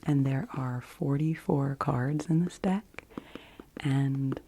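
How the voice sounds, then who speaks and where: A woman in her forties gently presenting in a hushed, partly whispered ASMR style, unhurried and close to the microphone. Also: very deep voice, a little hiss or hum. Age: 40s. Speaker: ASMR host. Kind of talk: soft ASMR card reading, partly whispered.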